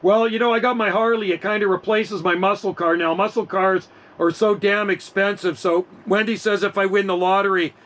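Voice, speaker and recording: A man in his fifties with a high, bright, forceful voice chats over two-way radio. He talks casually and enthusiastically, in easygoing slang. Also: steady tone, normal speed, hardly any pauses. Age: 50s. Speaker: amateur radio operator.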